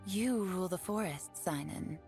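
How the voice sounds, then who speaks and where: A woman's voice: lowish voice, normal speed, a little mellow, faint music behind, close to an everyday voice. An actress playing a sly, graceful fox spirit woman, coolly confident, in a video game. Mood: poised, wistful.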